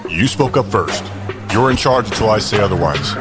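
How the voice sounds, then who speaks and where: Man playing a curt military commander, low-voiced. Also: expressive, normal speed, over loud music, lightly acted. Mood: stern, commanding. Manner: clipped, authoritative. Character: commanding male military leader, curt and decisive. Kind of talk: audio drama acting.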